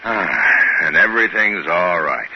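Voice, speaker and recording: Deep-voiced, wary spaceship crewman. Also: lively intonation, measured pace. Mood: suspicious. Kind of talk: 1950s radio acting. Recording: muffled, noisy 1950s radio recording.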